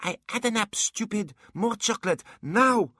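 A bratty little boy, pitched very high and swinging up and down. He is hyperactive and bossy, blurting blunt demands in a children's audiobook story, in a dry, close recording. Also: animated, normal speed, a bit bright, no room sound. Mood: demanding, bratty.

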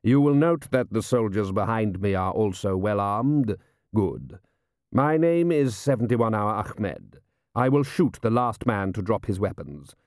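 A man voicing a coolly ruthless desert warrior with formal, measured, deadpan courtesy in an audiobook. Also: fairly low pitch, no room sound, studio quality. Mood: calm menace.

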